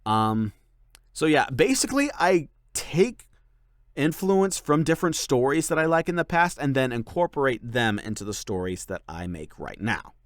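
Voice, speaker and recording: A young man answers viewer questions in loose, rambling chat, his pitch bouncing around in a lively way. His speech is full of fillers and restarts. The recording is close and dry. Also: moderate pace, dry recording. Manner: rambling, filler-heavy, many likes and ums. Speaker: channel host and voice actor. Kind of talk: casual Q&A chat.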